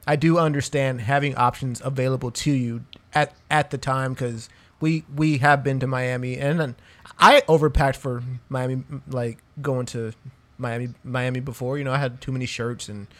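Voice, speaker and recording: A man in a casual chat among friends, relaxed and profane, saying "dude" often and stumbling over restarts. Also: mid-range voice, moderate pace. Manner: laid-back, swears a lot, says "dude" constantly; stammers and restarts phrases. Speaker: podcast co-host.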